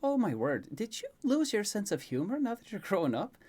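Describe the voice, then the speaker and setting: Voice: mid-range voice, sing-song, a little fast, darkish tone, pitched above the performer's own voice. A narrator voicing an older female caretaker, playful, bossy and fond of mock-scolding. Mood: teasing. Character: teasing, affectionate older female caretaker of a young prince.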